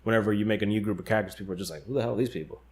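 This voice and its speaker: Low-pitched man in casual discussion. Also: rapid-fire, darkish tone. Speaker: podcast co-host. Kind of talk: casual fan discussion and speculation.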